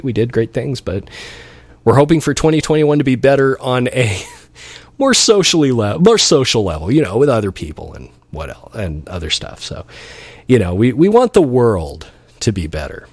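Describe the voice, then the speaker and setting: Male radio DJ, mid-pitched, dry studio sound, loose affectionate chat between tracks. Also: very animated, a little fast, a little mellow, breathy edge, dry recording. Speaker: radio DJ host.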